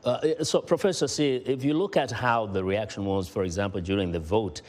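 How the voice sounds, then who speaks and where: Low-voiced male news interviewer, reverberant. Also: moderate pace, darkish tone. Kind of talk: broadcast interview, conversational questioning.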